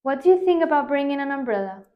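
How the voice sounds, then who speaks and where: Young woman student with a high voice. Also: expressive, fast, muffled recording. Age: 20s. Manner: careful, hesitant answers. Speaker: student, exam candidate. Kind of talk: practice exam answers. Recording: echoey room.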